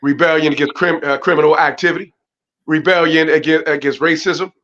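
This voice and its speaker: Deep-voiced man, emphatic and preachy. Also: fairly even intonation, a little fast, a bit bright, dull audio. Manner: emphatic, preachy, keeps asking "right?". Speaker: guest, boxer and community mentor. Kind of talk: casual interview talk.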